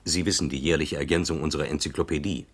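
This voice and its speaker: A man's voice: extremely low pitch, steady tone, quick pace. A man in his twenties playing a polite, eager young editor in a radio drama, deferential in tone. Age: 20s. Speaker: encyclopedia editor.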